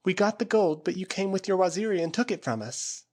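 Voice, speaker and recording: A man reading an adventure novel aloud, voicing a frightened young woman who was once a lady's maid, in a high, pleading voice. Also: expressive, a little fast, darkish tone. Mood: fearful.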